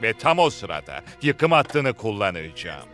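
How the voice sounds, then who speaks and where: An actor playing a scheming, menacing tycoon villain in a cartoon dub, commanding and gloating. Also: male voice, on the low side, very animated, measured pace, fairly bright tone, faint music behind.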